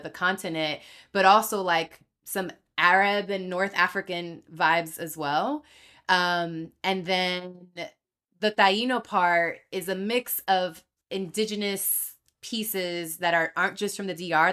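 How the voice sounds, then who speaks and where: Young Dominican-born woman guest, low-pitched, candid and animated storyteller. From Dominican Republic. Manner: animated, acts out quoted voices, laughs easily. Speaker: podcast guest.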